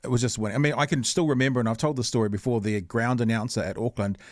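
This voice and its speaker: A man with a New Zealand accent hosting a casual podcast chat. His pitch sits mid-range, and he talks in long, winding sentences. The sound is close and dry, with no room echo. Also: fairly even intonation, very fast. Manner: chatty, laughing easily, long winding sentences. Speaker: podcast host.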